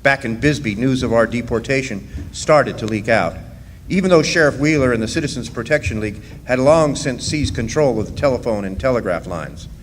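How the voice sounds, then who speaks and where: A man acting as narrator in a radio drama, recounting his own past in measured storytelling, recorded in a big hall. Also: mid-range voice, lightly acted. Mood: earnest, measured. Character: man recalling his part in a miners' deportation. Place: live performance in a large hall. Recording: echoey hall, background noise.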